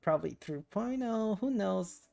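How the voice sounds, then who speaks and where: A woman's voice: mid-range voice, expressive, moderate pace, creaky, dark tone. A young adult woman streaming a game, chatting casually and rambling as she muses aloud. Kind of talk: casual livestream chat while gaming.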